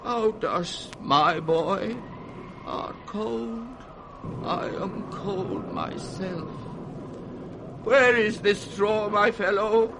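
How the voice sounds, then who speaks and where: Tormented old king, slow, high-pitched. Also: exaggerated voice. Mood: broken, tender. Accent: British. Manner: grand, declamatory, slow and weighty. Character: aging tormented king raging at a storm, sliding into madness. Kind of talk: 1960s British radio Shakespeare acting. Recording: noisy 1960s radio recording, storm-like rumble.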